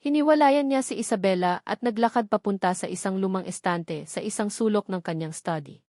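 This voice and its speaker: A woman narrates a scripted dramatic story. Her voice sits at an average pitch for a woman. The sound is dry, with no room around it. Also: a little fast, darkish tone, no room sound.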